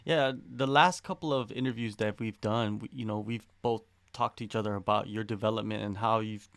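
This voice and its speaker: A man interviewing a fighter, asking questions in a relaxed, conversational way. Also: fairly low pitch, a little fast, no room sound. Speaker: sports interviewer.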